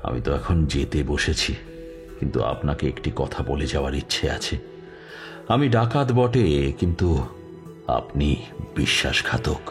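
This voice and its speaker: Proud aging dacoit leader, very low voice, measured confession, deliberate pauses, audio drama with music underneath. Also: male voice, expressive, full character voice. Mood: resigned, accusing. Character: aging male bandit chief, proud, unrepentant, confessing to police. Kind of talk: dramatised audio story monologue, first-person confession.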